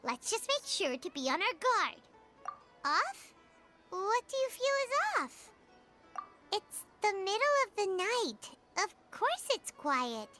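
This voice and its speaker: A tiny fairy sidekick speaks in a squeaky, extremely high childlike voice that bounces all over the place. She is excitable and expressive, in video game style, with prominent music underneath. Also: slow, full character voice. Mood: chatty, confident. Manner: refers to self in third person, expressive.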